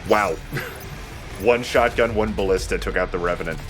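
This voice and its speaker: Guy with a kinda low voice chatting thru a shooter playthrough, faint music under him. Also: a little slow. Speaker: let's play host playing a shooter game. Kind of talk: casual gameplay commentary.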